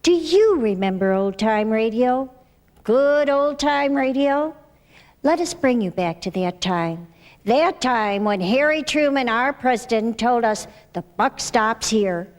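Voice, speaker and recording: A woman's voice: a little high, animated, slow pace. An older American woman reading scripted, nostalgic narration as presenter of a radio ensemble. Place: small studio room, indoors. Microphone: stand microphone.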